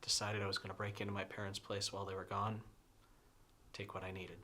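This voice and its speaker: A man in his sixties confesses a past mistake in a quiet, half-whispered voice. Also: lowish voice, monotone, fast talker. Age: 60s. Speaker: interviewee. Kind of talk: film dialogue.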